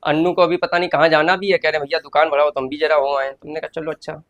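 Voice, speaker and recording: A man's voice: on the high side, little pitch movement, quick pace, fairly bright tone, no room sound, lo-fi sound. A man in his thirties, a shopkeeper and father, chatting casually to viewers through a family vlog. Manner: chatty, addresses viewers as friends. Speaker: vlogger, shop owner and father.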